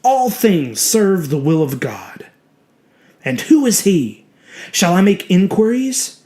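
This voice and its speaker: A man's voice: high-pitched, sing-song, slow pace, warm tone, pitched above the performer's own voice, full character voice. A man voicing a petulant, self-righteous small-town reverend with a beast inside, preaching his own excuses in an indignant, brooding way for a horror audio drama. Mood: self-righteous, scheming. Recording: music behind the voice.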